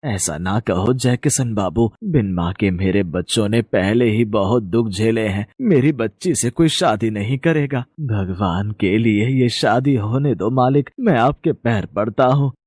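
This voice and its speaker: Story drama father acted by a man: elderly villager, deep, humble, emotionally begging. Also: unhurried, hardly any pauses, darkish tone, no echo, clean studio sound. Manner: pleading, emotional.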